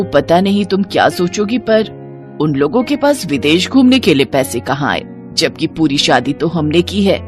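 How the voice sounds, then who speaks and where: A woman playing an older, caring mother-in-law in a cartoon family drama, advising in a measured manner. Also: low-pitched.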